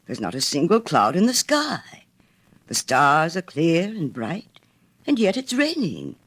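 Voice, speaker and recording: A woman voicing a small, proud, flighty male swallow in a storybook, with a brisk, self-assured, exclaiming manner. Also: low-pitched, huge pitch swings, a bit bright, slightly rough, exaggerated voice. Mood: puzzled, annoyed. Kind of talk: storybook character reading.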